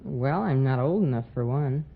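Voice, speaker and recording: Male teen contestant, polite, animated intonation; dull vintage radio sound, faint hiss. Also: medium pitch, moderate pace, creaky. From Los Angeles, United States. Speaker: high school student contestant. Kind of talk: answering a radio quiz host's questions.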